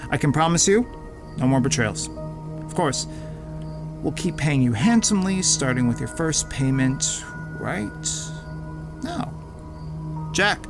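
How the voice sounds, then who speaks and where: Guy acting a gloating crime boss, kinda high voice, fake polite and menacing, music behind him. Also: animated. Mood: smug, pleased. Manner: pompous, taunting, drawn-out mock politeness. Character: smug, menacing male crime lord, theatrical negotiator. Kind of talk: audio drama villain acting.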